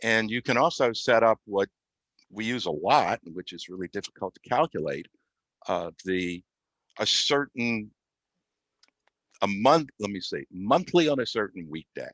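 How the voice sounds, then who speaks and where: A man giving an unscripted software demonstration as a guest, explaining step by step with halting, mid-phrase pauses. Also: lowish voice, expressive, no room sound. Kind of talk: unscripted software demo walkthrough.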